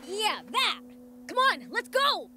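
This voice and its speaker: A woman's voice: extremely high pitch, sing-song, takes it slowly, slight background noise, full character voice. A woman voicing a brash, cocky young demon boy prince in a video game cutscene. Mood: impatient. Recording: loud music behind.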